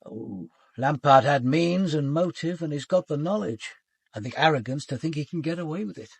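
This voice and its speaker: An actor with a British accent playing a senior police detective in a radio drama, measured, formal and probing. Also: male voice, a little high, expressive, gravelly, breathy edge, no room sound, heavily acted. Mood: musing. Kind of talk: British radio drama acting and first-person narration.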